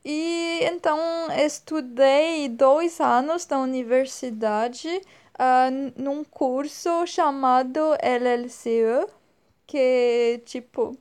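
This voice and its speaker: A woman in her twenties from France with a French accent, speaking slowly and hesitantly as an interview guest, often pausing to search for words. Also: high voice, a little mellow, clear, resonant tone. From northern France. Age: 20s. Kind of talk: slow, careful speech with many pauses and fillers.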